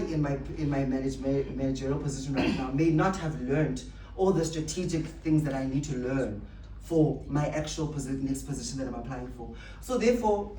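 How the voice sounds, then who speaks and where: Motivational speaker, mid pitch, roomy echo, mic a bit far off, repeats points for emphasis. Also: darkish tone. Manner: emphatic, rhetorical questions and repetition. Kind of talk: motivational speech to staff. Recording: echoey room, distant mic, faint noise.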